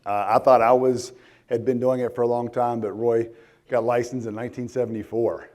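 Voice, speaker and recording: Hoarse middle-aged man giving a conference talk. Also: mid-range voice, flat delivery, a little fast, a little mellow. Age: 40s. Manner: casual, many ums and restarts. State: hoarse, voice worn out. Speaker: conference speaker. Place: conference hall.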